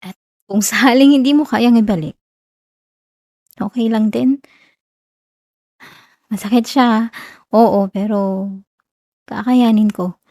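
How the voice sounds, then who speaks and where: A young Filipino woman acting a whiny, shy tsundere college girl confessing love in a soft, hesitant ASMR roleplay. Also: a little high, slow pace, pauses often, dry recording, clean studio sound. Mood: wistful, tender.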